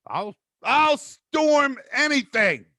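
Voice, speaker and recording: A deep-voiced male comedian running through a stand-up bit with profane, self-mocking asides. His pitch swings widely as he talks. The sound is dry and close. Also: lively intonation, drawn-out words, pushed and bright, no room sound.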